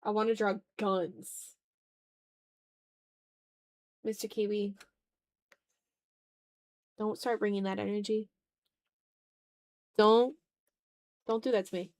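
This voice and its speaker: A woman with a mid-pitched voice hosts a casual drawing stream. She talks in a loose, playful way to her chat, swearing lightly and tending toward mock-dramatic complaint. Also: fairly even intonation, slow, pauses often, a little mellow. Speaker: art streamer.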